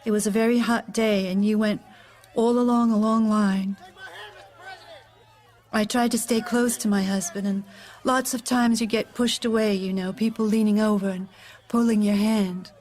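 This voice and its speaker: A woman's voice: medium pitch, steady tone, darkish tone, natural voice. A woman playing a widowed First Lady giving hesitant, composed testimony in an audio drama. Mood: subdued, hesitant. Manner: hesitant, halting, measured recollection.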